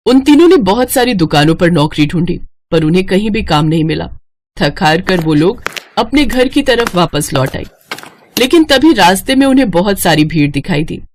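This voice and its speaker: A woman's voice: on the low side, very animated, moderate pace, darkish tone, slight background noise. A woman narrator reading a scripted story.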